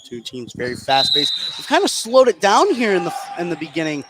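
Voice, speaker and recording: Higher-voiced man calling basketball over noise. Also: wildly swooping pitch, fairly quick. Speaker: play-by-play sports commentator. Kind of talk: live basketball play-by-play commentary. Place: indoor basketball gym at a tournament. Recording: noisy gym behind live broadcast.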